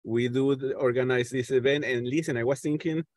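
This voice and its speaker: A middle-aged man from Venezuela with a Venezuelan Spanish accent speaks haltingly on a video call, repeating words as he hosts an informal political discussion. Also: medium pitch, steady tone, dry recording, clean studio sound. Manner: halting, stammering repetitions as he searches for words. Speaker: host of an online panel discussion. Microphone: earphones on a video call.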